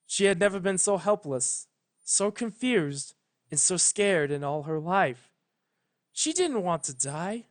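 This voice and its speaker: A man's voice: sits high, big pitch swings, slow pace. A man reading fan fiction as narrator, in a solemn, emotive, dramatic storybook style.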